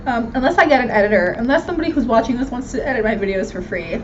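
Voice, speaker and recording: A woman's voice: on the high side, lively intonation, very fast. A woman of twenty-nine with an American accent, chatting casually and candidly in a slangy, self-mocking way as she hosts a question-and-answer vlog. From Miami, Florida. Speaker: video host answering viewer questions. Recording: dull lo-fi sound with background noise.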